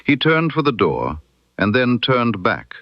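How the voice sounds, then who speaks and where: A man narrating a scripted literary mystery story in a measured, deliberate storytelling manner. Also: mid-range voice, wildly swooping pitch, dry recording, dull audio. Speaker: audiobook narrator.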